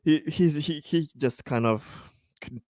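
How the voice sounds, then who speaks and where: A man's voice: on the high side, measured pace, a little mellow, no echo, studio quality. A man playing a tabletop game who swears freely, laughs often and acts out his character's worried inner thoughts. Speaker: player. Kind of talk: animated tabletop game chat.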